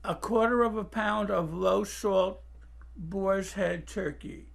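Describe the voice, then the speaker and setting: Male, higher-pitched with noticeable creak, for candid, halting, informal personal talk. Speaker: blind interview subject in an audio documentary. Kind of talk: casual candid talk and reminiscence.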